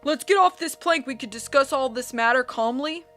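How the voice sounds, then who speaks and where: A woman voicing a calm, placating girl who is disguising her voice in a storybook. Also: high voice, normal speed, faint music behind, voice pushed up.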